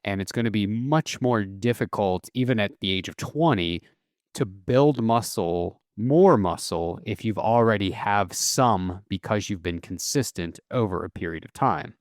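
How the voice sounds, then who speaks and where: A man hosting a solo podcast, explaining at a relaxed pace with casual fillers and self-corrections. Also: fairly low pitch, measured pace, dry recording, studio quality. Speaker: podcast host.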